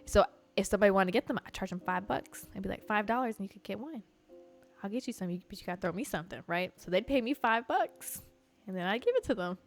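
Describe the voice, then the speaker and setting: A young woman in her twenties hosts a podcast alone. She talks in a loose, unfiltered, profane style and leans on "like" all the time. Her pitch sits mid-range, and faint music plays under her voice. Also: expressive, fast talker. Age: 20s. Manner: candid, loose and profane, heavy use of "like". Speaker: podcast host. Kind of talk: casual storytelling monologue.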